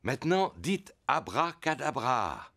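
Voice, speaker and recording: A man's voice: mid-range voice, big pitch swings, slow pace, fairly bright tone, heavily acted. A man voicing a jolly father pig playing magician in a children's cartoon dub, with a theatrical, playfully grand delivery.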